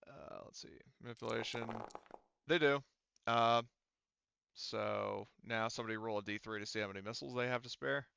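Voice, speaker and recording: A man acting as game master in a casual tabletop roleplaying session, halting and thinking aloud as he checks the rules. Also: mid-range voice, fairly even intonation, vocal fry, bright, forceful tone, clear, resonant tone, no room sound, dull audio.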